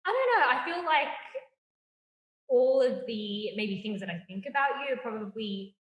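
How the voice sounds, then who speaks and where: A woman's voice: on the high side, very animated, moderate pace, lo-fi sound. A young woman with an Australian accent, co-hosting a casual chat and game, speaking easygoing and thoughtful with frequent laughter. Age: young adult. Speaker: co-host, PhD student. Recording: echoey room with long reverb.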